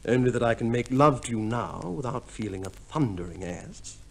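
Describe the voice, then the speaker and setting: An actor playing a smug, pompous army major and husband in a 1950s radio comedy, with a theatrical manner. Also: male voice, mid-range voice, expressive, normal speed, a crowd in the background, close to an everyday voice. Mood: suave, flirtatious.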